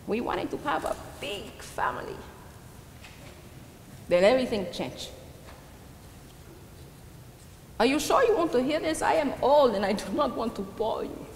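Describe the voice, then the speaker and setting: A woman's voice: high voice, very animated, normal speed, gravelly, exaggerated voice. A woman performing a theatrical monologue as an old, proud and sorrowful Dominican immigrant widow with a Dominican Spanish accent, confiding her story hesitantly with emphatic swells. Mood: wistful, confiding. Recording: roomy reverberant hall with background noise.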